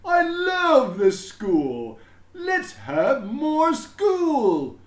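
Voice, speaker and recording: Exuberant, booming storybook school principal. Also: male voice, huge pitch swings, roomy sound, heavily acted. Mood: gushing delight. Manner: booming, drawn-out, emphatic delivery. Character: exuberant, proud, school-obsessed male principal. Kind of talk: children's storybook character voice.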